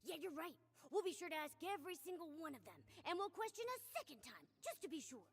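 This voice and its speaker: A woman voicing a small, cute, excitable companion creature in a video game, perky, inquisitive and cheeky. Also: extremely high pitch, fast talker, in a large hall, exaggerated voice. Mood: suspicious. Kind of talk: game voice acting.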